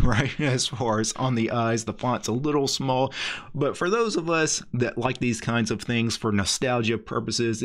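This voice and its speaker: A middle-aged man hosting a casual software walkthrough, thinking aloud in a conversational, rambling way. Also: medium pitch. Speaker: tech video host. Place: small indoor home studio.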